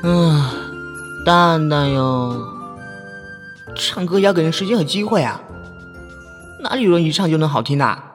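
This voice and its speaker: Man acting a cocky, high-pitched young voice actor. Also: expressive, unhurried, pauses often, faint music behind. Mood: nonchalant, playful. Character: young male amateur online voice actor, cocky, tone-deaf singer. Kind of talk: online radio drama acting.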